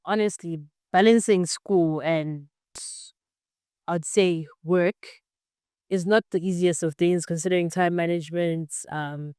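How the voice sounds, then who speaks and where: A woman's voice: fairly low pitch, darkish tone, smooth, no room sound, studio quality. Earnest young woman, podcast guest. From Zambia. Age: 20s. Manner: earnest, explanatory, frequent "um" and "basically". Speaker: podcast guest, computer science student. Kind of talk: casual interview answers.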